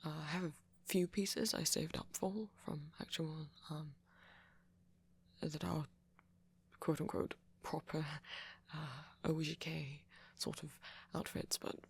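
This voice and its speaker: A man playing a shy, apologetic young vampire in an ASMR roleplay, with a posh British accent and a hesitant, stammering, soft-spoken way of talking. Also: on the high side, flat delivery, takes it slowly, gravelly, husky, exaggerated voice. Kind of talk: intimate ASMR roleplay audio.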